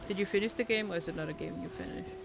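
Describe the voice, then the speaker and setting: A woman's voice: mid-range voice, racing, gravelly. A woman giving casual video game commentary as a streamer, muttering short offhand remarks to herself. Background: game music.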